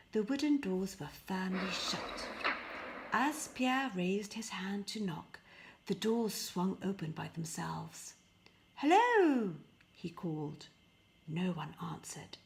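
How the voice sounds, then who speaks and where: A woman narrates a children's fairy tale with expressive, deliberate phrasing. Her pace is slow and her voice often creaky, dropping to a hush at phrase endings. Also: lowish voice. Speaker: storybook reader.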